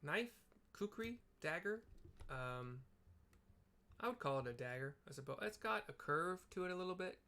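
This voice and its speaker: A man's voice: sits high, animated, normal speed, pushed and bright. A man chatting casually through a game playthrough, describing scenes with rambling, jokey asides. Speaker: gameplay narrator.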